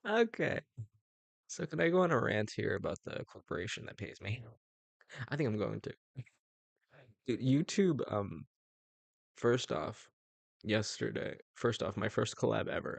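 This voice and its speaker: A young man rambles through a casual livestream chat in a low, gravelly voice. He chuckles often and keeps restarting his sentences around fillers, and the sound is dry and close. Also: no room sound. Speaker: streamer.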